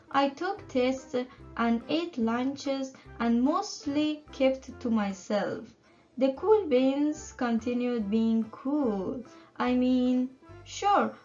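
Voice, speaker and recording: A woman reading a picture book aloud to children in an expressive storytelling style. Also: a little high. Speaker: children's story time reader and channel host. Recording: muffled audio under music. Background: music.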